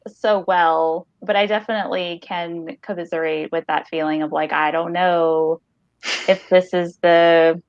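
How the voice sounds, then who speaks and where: A woman's voice: on the low side, fairly even intonation, unhurried, no room sound. Female podcast co-host, casual literary chat, conversational, dry but slightly muffled sound. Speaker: podcast co-host discussing a short story. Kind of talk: casual book discussion.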